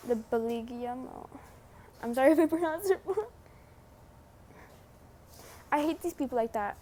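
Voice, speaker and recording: A young woman giving casual, slangy, sweary and teasing commentary as a gaming vlogger, with unhurried delivery. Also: sits high, animated, mellow, slight background noise.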